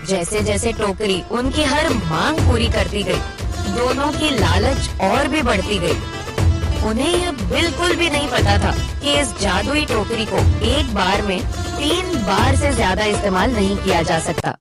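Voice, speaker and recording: A woman's voice: fairly high pitch, animated, a little slow, loud music behind the voice. A woman narrating a cartoon moral tale in a scripted storytelling style.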